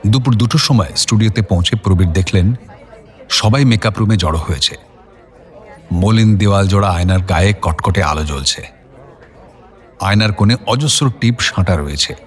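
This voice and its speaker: A man's voice: lowish voice, normal speed, pauses often. A man narrating a scripted suspense story in a dramatic storytelling style.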